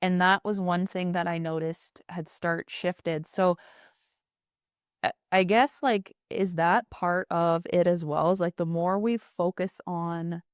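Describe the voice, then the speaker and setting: Woman co-host, lower voice, rambling casual talk, dry sound. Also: steady tone, slow, smooth, dry recording. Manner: chatty, rambling, frequent "like" fillers. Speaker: podcast co-host. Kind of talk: casual podcast conversation.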